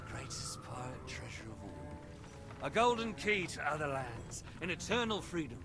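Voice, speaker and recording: A man playing a witty, roguish pirate captain in a video game, with sly, flowery, theatrical phrasing. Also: a little high. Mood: boastful. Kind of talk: video game character acting. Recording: game music behind the voice.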